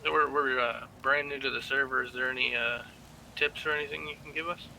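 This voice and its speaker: A man's voice: mid-range voice, fairly even intonation, brisk, creaky, pushed and bright, a little hiss or hum, poor audio. A man chatting casually and appreciatively as a newcomer asking questions in an online game. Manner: friendly, appreciative.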